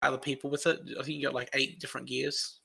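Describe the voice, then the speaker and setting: A man's voice: mid-range voice, flat delivery, fast, gravelly, sharp tone, a little hiss or hum. An Australian man who is a guest on a podcast, talking casually and rambling through long explanations.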